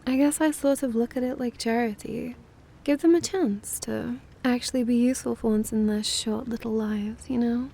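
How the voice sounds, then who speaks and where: Woman as a creaky, teasing vampire mistress. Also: a little high, fairly even intonation, normal speed, dark tone. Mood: amused, condescending. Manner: playful, drawling, condescending. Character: teasing, languid younger-looking immortal vampire mistress. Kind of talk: ASMR roleplay acting.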